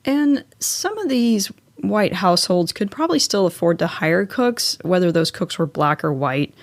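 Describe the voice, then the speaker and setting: American woman, podcast host, slightly low voice, chatty narration, wry asides, very dry close recording. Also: lively intonation, moderate pace, dry recording. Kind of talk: chatty scripted solo narration.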